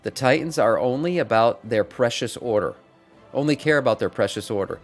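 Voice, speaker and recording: A man's voice: mid-range voice, fairly quick, faint music behind, close to an everyday voice. A man reading aloud the game dialogue of a grave, ominous orc in a solemn, portentous manner. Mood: grave warning. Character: grave, ominous male orc warning of cosmic schemes.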